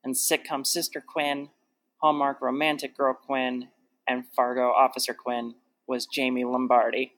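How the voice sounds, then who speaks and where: A man's voice: medium pitch, level tone, a little slow, fairly bright tone. A man reading out cast credits in a scripted announcing style.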